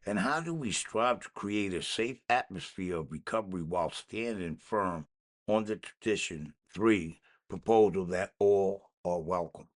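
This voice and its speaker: A man reading a daily recovery meditation aloud in a measured, slightly halting way. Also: low-pitched. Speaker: podcast reader.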